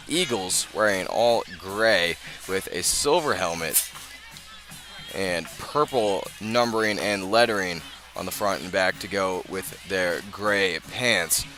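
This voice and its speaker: An American man doing live play-by-play radio commentary of a high school football game. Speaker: play-by-play announcer.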